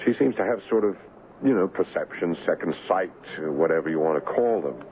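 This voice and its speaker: An actor playing a devoted, anxious husband in a 1970s radio drama, earnest and hesitant. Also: male voice, deep voice, animated, fairly quick. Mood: uneasy, defensive. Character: devoted, anxious American husband, haunted in hindsight. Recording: muffled, hissy old radio broadcast.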